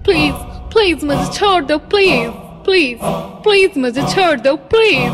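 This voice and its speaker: A woman's voice: high-pitched, animated, moderate pace, pitched above the performer's own voice. A woman voicing a young hostel resident in a cartoon horror story, who is first dismissive and then frantically pleading. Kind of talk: cartoon voice acting. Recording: reverberant, with music behind.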